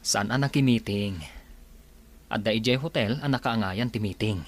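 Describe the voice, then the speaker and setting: A man's voice: on the low side, moderate pace, natural voice. A man with an Ilocano accent playing a smitten, confident office boss in a radio drama, flirtatious and playful. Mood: dreamy, distracted.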